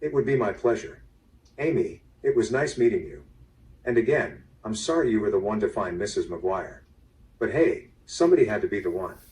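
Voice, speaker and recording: Actor as blunt, low-voiced foreman, slightly distant. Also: lively intonation, normal speed, darkish tone, lightly acted. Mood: tactless. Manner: plain-spoken, casual, direct. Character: blunt working construction foreman, tactlessly friendly. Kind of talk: mystery audio drama acting. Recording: distant, played through a small speaker.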